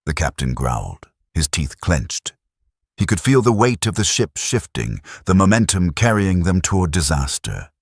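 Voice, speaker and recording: Very deep-voiced husky male narrator. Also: normal speed, no room sound, clean studio sound. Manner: dramatic, expressive storytelling. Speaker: audiobook narrator. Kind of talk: dramatic scripted narration.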